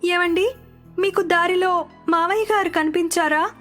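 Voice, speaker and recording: A woman's voice: very high voice, fairly even intonation, a little slow, a bit bright, pitched above the performer's own voice. A woman voicing an anxious, caring young village daughter-in-law in a respectful, fretting way for a story. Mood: worried. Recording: music under the voice.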